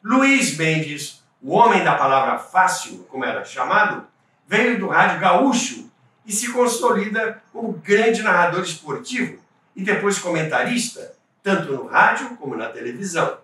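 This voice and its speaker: A Brazilian man narrating as the host of a radio history show, speaking in an emphatic, deliberate way. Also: fairly high pitch, bright, forceful tone. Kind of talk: storytelling narration. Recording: echoey room, distant from the mic.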